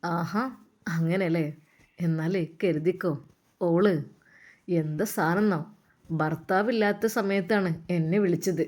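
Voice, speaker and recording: Brief: woman doing a sly young husband, low hushed coaxing voice. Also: fairly even intonation, measured pace, long pauses. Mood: indignant. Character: scheming young husband, smooth-talking.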